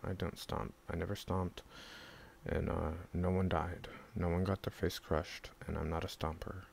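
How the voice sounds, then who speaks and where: A man from Southern California with a gravelly voice, rambling defensively in a monologue, swearing and breaking into sudden loud bursts. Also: low-pitched, little pitch movement, moderate pace, a little hiss or hum.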